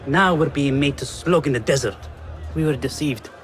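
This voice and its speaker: A man's voice: fairly high pitch, normal speed, soft background music, natural voice. A man playing a desperate, exploited labourer in a video game, speaking in an urgent, pleading manner. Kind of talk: dramatic video game acting.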